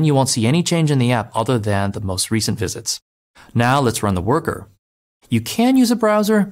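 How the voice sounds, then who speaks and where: A man giving a scripted, step-by-step narration of a software migration tutorial, speaking clearly and steadily as a voice-over. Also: medium pitch. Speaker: developer advocate. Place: voice-over over screen recordings.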